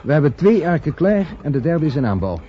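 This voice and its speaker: An actor playing a matter-of-fact ark builder in a radio play, giving an informative sales patter. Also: male voice, on the high side, very animated, a little fast. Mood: businesslike. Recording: dull old recording, faint noise.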